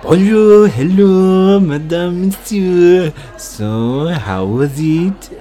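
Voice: mid-range voice, animated, extremely slow, warm tone, faint music behind, some chatter behind, pitched above the performer's own voice. A polite, flustered waiter in an improvised radio play, put on by one performer. He is apologetic and hesitant, taking his time over each phrase. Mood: greeting.

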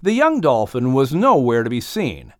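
Animated male storybook narrator. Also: mid-range voice, moderate pace, no echo, clean studio sound. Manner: dramatic, expressive storytelling. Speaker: audiobook narrator. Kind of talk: scripted children's story narration.

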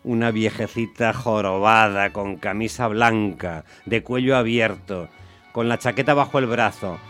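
Weary working-class cab driver played by a man, radio drama narrator, colloquial and grumbling, lower register, music bed. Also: unhurried, a bit bright. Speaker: first-person narrator and lead actor. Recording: music under the voice.

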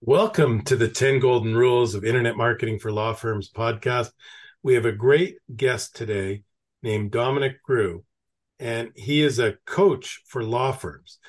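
A man's voice: mid-range voice, measured pace. Chatty male podcast host from Canada. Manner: chatty, anecdotal, asks long questions. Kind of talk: podcast interview.

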